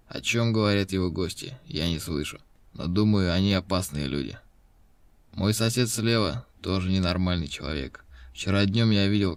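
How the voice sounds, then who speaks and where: A strained, suspicious old pensioner. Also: male voice, low voice, dry recording. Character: suspicious old male pensioner writing to police. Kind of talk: scripted language-lesson recording.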